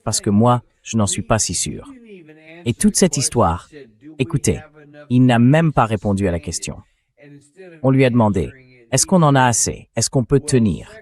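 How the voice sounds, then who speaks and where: A man's voice: mid-range voice, very animated, normal speed, pauses often, a little mellow. An AI-voiced man speaking as a talk show host, giving dubbed political commentary. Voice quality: synthetic AI voice.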